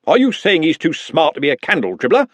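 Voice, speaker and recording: A man's voice: fairly high pitch, big pitch swings, fast, no room sound, pitched above the performer's own voice. A man doing a stubborn, earnest servant. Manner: firm, earnest.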